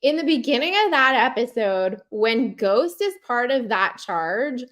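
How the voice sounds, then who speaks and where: Expressive woman in casual podcast chat. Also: a little high, measured pace. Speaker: podcast host.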